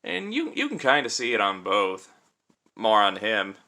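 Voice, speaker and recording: A man's voice: fairly low pitch, huge pitch swings, measured pace, pushed and bright. A man giving a casual hands-on product review in an informal, conversational way, thinking aloud as he demonstrates. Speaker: toy reviewer and host.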